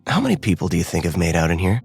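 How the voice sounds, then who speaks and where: A man's voice: low-pitched, wildly swooping pitch, very fast, creaky, faint music behind, full character voice. An actor playing a playful, flirty, slightly awkward man on a date in a romcom audio drama, with a hesitant, joking delivery. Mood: flirty, nervous.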